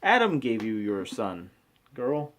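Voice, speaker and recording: A man in his thirties reading aloud and commenting casually, with an informal, halting delivery and frequent self-corrections. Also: mid-range voice, very animated, a bit bright. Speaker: vlogger reading and commenting on scripture. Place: small room at home. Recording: clearly noisy amateur home recording.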